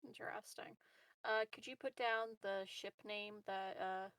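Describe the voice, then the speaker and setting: A woman's voice: medium pitch, steady tone, unhurried, fairly bright tone, clean tone, no room sound. A woman playing a tabletop roleplaying game, chatting casually in a giggly, informal way. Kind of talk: casual chat during a game.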